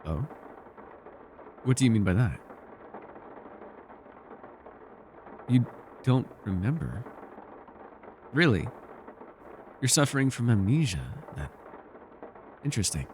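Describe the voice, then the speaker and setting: A man playing an ancient, wistful male elf in an intimate ASMR roleplay, telling things in a measured, gently teasing way. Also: mid-range voice, very animated, a little hiss or hum, natural voice. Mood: gently curious. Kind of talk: ASMR character roleplay, intimate scripted monologue.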